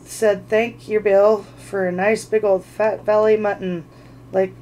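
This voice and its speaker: Gruff, boozy male troll, loud and a bit raised in pitch, as the reader puts him on. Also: flat delivery, unhurried, a little hiss or hum, close to an everyday voice. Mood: grumbling.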